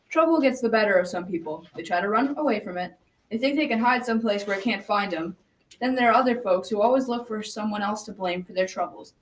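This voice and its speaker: A woman's voice: mid-range voice, lightly acted. Young girl as a folksy grandpa storyteller, distant mic. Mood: patient, folksy. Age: young teenager. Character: folksy old grandfather telling a tale to grandchildren. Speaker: student narrator in a school radio drama. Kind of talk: scripted storytelling. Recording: distant mic, clear background noise.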